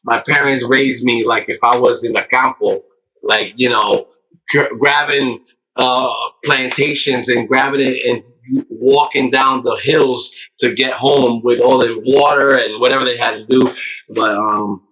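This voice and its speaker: A man's voice: medium pitch, steady tone, measured pace, bright, forceful tone, slightly rough, rough recording. A man in his thirties talking casually on a podcast, rambling through his life story with lots of "you know.". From United States. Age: 30s. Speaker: podcast guest.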